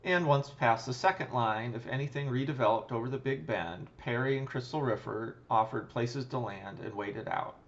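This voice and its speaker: A man narrating a scripted flight review as a pilot and host, in a measured, matter-of-fact, technical way. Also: mid-range voice, highs cut off.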